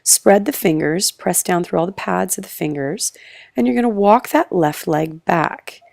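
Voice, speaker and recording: Croaky-voiced Australian woman, yoga instructor, low and gravelly, soft whispered cues. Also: a little slow, no echo, clean studio sound. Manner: calm, breath-paced cueing, trails into soft whispers. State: hoarse, croaky voice. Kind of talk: guided yoga class instruction.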